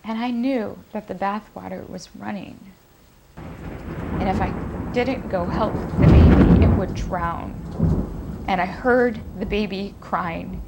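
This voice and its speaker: An actress playing a troubled young woman, speaking in a hushed, halting, confessional way as she recounts something disturbing. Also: mid-range voice, creaky, heavy background noise. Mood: haunted. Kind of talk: naturalistic film acting, monologue recounting a dream.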